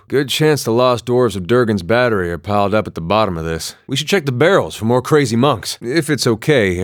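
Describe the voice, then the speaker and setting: Easygoing country ex-soldier in a video game, lowish voice, dry deadpan drawl, really close dry sound. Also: male voice, dry recording. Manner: dry, deadpan, understated humor. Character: laid-back, wry farmer and war veteran, folksy man. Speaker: video game companion character. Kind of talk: video game voice acting, story, banter and fight barks.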